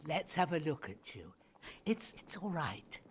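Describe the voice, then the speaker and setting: A gentle village healer. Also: female voice, deep voice, very animated, measured pace, a little hiss or hum. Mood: soothing. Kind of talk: game cinematic acting.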